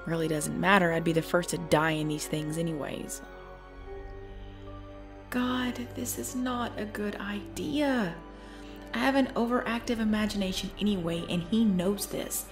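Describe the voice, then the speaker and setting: Over a music bed, a woman acts out a cute, jumpy girlfriend in an intimate ASMR roleplay. Her medium-pitched voice pleads and whines, often sinking into hushed, nervous talk. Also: steady tone, unhurried, close to an everyday voice. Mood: reluctant, playful, then nervous, muttering. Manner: pleading, whiny, dropping into hushed self-talk. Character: cute, jumpy girlfriend frightened by scary movies. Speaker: roleplay audio performer.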